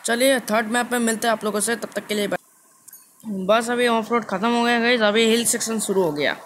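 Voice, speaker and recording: A man's voice: very high-pitched, brisk, fairly bright tone. A man with an Indian accent giving casual live gameplay commentary as a video host, often repeating phrases and mixing in English words. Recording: noisy amateur screen recording with ambient sound.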